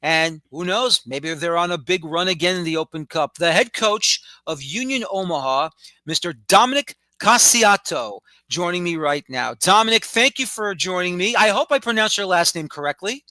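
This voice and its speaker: A man's voice: sits high, lively intonation, moderate pace, bright, forceful tone, dry recording. A man with a New York accent hosting a sports podcast, asking chatty, rambling questions. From New York City, the Bronx. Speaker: podcast host. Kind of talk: casual sports interview.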